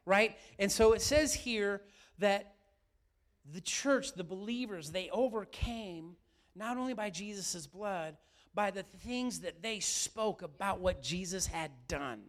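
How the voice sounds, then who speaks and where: A middle-aged male pastor preaching a sermon, his voice a little raspy from yelling, often asking the room questions. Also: sits high, takes it slowly.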